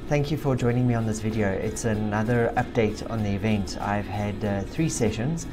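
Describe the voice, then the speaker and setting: A woman's voice: a bass voice, normal speed, music in the background. A woman with a South African accent, hosting a spiritual video and reading session transcripts aloud with emphasis. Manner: emphatic, forceful when reading the client. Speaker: video host, spiritual practitioner. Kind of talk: narration read from session transcripts.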